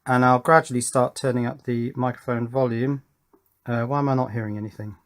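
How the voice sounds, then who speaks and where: A man's voice: medium pitch, fairly even intonation, a little fast. A man giving a casual hands-on electronics demonstration, thinking aloud and talking to himself as he works. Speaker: electronics hobbyist presenter.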